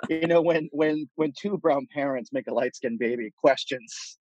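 A man from Puerto Rico speaking as a thoughtful podcast guest, laying out his ideas in articulate, measured phrases. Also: on the high side, darkish tone, dull audio. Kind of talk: reflective interview conversation.

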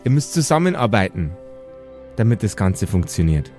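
A man's voice: lowish voice, very animated, normal speed, warm tone, voice pushed down. A man voicing a doting but fierce dwarf mother in an audio play, folksy and determined. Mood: matter-of-fact. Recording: music behind the voice.

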